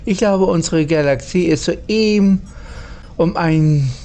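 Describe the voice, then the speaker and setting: A woman's voice: fairly low pitch, slow pace, mellow. A German woman playing a game and reading its dialogue aloud in character.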